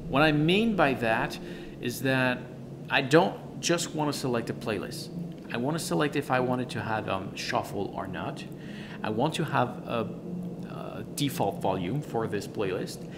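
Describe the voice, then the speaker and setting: A man who is a gives a casual product review in an enthusiastic, emphatic, halting way, often repeating words. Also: mid-range voice, lively intonation, background noise.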